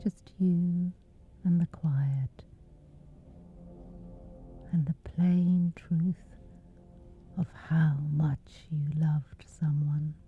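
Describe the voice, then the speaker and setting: A woman whispering softly as she guides a gentle sleep meditation, calm and soothing. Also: deep voice. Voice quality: soft whisper. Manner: slow gentle soothing delivery. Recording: lo-fi narrow sound with soft music.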